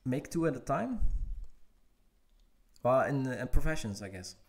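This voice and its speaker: A man's voice: mid-range voice, big pitch swings, a little slow. A man, streaming a game and answering viewer questions in a casual, hesitant way with many fillers. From Netherlands. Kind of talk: casual livestream Q&A.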